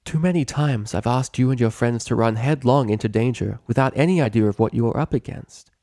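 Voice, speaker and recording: A man's voice: mid-range voice, a little fast, mellow, no echo, clean studio sound, pitched above the performer's own voice, close to an everyday voice. A man voicing a stern, regal female pony ruler and mentor in a story reading. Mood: firm, worried. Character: stern regal mare princess, mentor ruler, angry then motherly.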